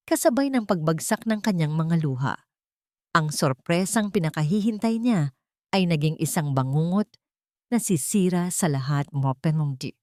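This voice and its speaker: A woman's voice: lowish voice, a little mellow, dry recording, clean studio sound. A woman telling a story as dramatic scripted narration.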